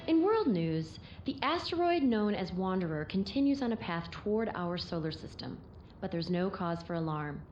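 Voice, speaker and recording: An actress voicing a radio news announcer with a smooth, reassuring delivery. The sound is dull, like an old broadcast. Faint music sits underneath. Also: female voice, on the low side, expressive, moderate pace, a little mellow, natural voice. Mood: calm.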